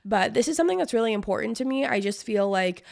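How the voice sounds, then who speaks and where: A young woman hosting her own podcast in casual solo chat, her voice hoarse from a cold, talking with lots of "like" and "um". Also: on the high side, expressive, fast, a little mellow, dry recording, clean studio sound. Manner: chatty, lots of "like" and "um". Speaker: podcast host.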